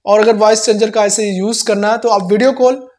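A man's voice: high voice, steady tone, a little fast, a little mellow. A man in his twenties with an Indian accent, hosting a casual how-to tutorial, recorded indoors on a lapel mic. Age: 20s. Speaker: tech tutorial host. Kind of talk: casual how-to explanation to camera. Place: small indoor room. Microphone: clip-on lapel mic.

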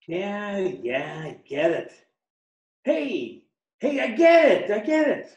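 A man's voice: high-pitched, huge pitch swings, slow, a little mellow, heavily acted. A man playing a cowed, jittery hoodlum informant in a period radio mystery. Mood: nervous, placating. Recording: dull, echoey call sound.

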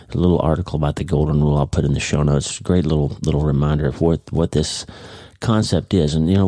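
A man's voice: extremely low pitch, dry recording. A man hosting his own podcast in a casual spoken monologue, a little congested, chatty and easygoing, recorded at home early in the morning. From United States. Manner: warm, chatty, leaves in his own slips. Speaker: podcast host.

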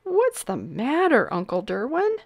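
A woman's voice: on the high side, huge pitch swings, unhurried, gravelly, voice pushed up, heavily acted. A woman voicing a very proud, petulant little boy duke in a storybook. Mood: cocky. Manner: haughty, petulant.

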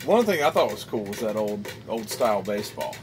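A middle-aged man filming a casual road-trip vlog outdoors at night, retelling the day in a relaxed, chatty way. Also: on the low side, fairly quick, music in the background. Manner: relaxed, chatty, loose storytelling. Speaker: vlogger on a baseball road trip. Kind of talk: casual chat. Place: outdoors beside a ballpark brick wall at night.

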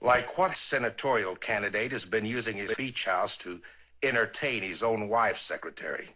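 An actor playing a burly, domineering middle-aged campaign manager in a crime drama, brusque, bullying and sarcastic. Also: male voice, fairly low pitch, moderate pace, bright, forceful tone. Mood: sarcastic, scolding.

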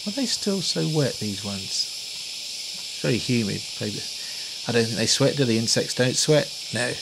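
A man's voice: medium pitch, lively intonation, measured pace, vocal fry. A man filming a casual travel video diary, chatting and joking to the camera with gushing exclamations, recorded on a camcorder mic outdoors and in a cave. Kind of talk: casual handheld commentary. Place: outdoors in rainforest and inside a cave. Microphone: built-in camcorder mic. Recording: hissy noisy camcorder audio.

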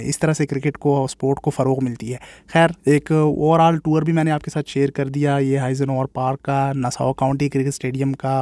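A man's voice: mid-range voice, dark tone, no room sound. Chatty man. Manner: chatty, opinionated, keeps saying "bhai". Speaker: cricket vlogger. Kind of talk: casual walking vlog commentary. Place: outdoors, walking along streets and parks.

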